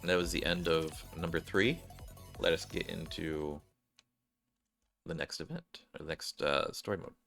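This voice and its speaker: Bright-toned, low-pitched man reading game story. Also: a little slow, gravelly, long pauses, soft background music. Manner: stumbles while reading and corrects himself. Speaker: streamer narrating game cutscenes.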